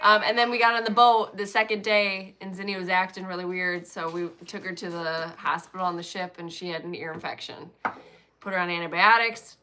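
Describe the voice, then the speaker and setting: A woman's voice: lowish voice, steady tone, a little fast, background noise. A woman co-hosting a casual live stream from a phone, chatting and laughing as she greets viewers. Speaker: co-host of a stitching live stream. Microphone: phone camera.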